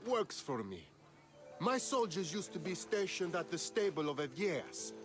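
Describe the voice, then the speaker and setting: An actor playing a smug, sardonic ancient Greek commander in a video game, mocking and commanding. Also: male voice, sits high, sing-song, unhurried, music in the background. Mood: smug, businesslike. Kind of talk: video game dialogue acting.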